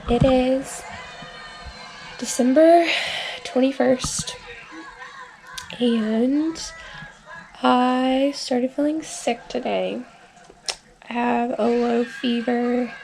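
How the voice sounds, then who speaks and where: A woman's voice: sits high, vocal fry, dark tone, slight background noise, poor audio. A woman, sick and congested, rambling through a casual video diary with lots of filler words. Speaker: vlogger.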